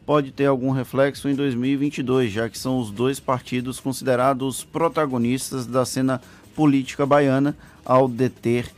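A man's voice: medium pitch, steady tone, moderate pace. A man working as a radio journalist, asking formal, analytical questions in an interview.

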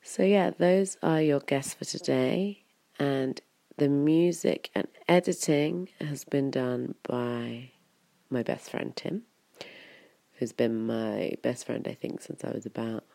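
A woman's voice: rumbling low, expressive, slow pace, vocal fry, dark tone, no echo. A woman, hosting a podcast in a casual, rambling, chatty way. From England, Wiltshire. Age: 30s. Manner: rambling, chatty, full of "kind of" and "like". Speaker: podcast host.

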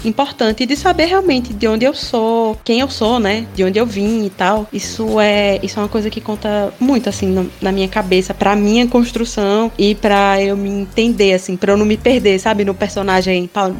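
A woman's voice: mid-range voice, steady tone, normal speed, hardly any pauses, a little mellow, a little hiss or hum. A woman with a São Paulo accent in a casual chat among friends, speaking informally and reflectively with frequent check-in tags. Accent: São Paulo Brazilian. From São Paulo, Brazil.

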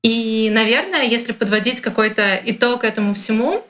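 A young woman from Russia chatting casually as a guest, lively and informal with plenty of slang. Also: a little high, normal speed, soft background music. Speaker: guest, content creator. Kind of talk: casual conversation.

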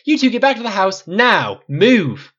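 A man's voice: high-pitched, very animated, a little slow, highs cut off, pitched above the performer's own voice, exaggerated voice. A man voicing a livid, commanding ranch boss who barks and snaps in an audiobook story. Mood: furious. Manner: snapping, barking, forceful.

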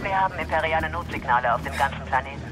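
An actor playing a concerned comrade giving brisk, urgent orders in a science fiction audio drama. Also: male voice, a little high, noisy background, natural voice.

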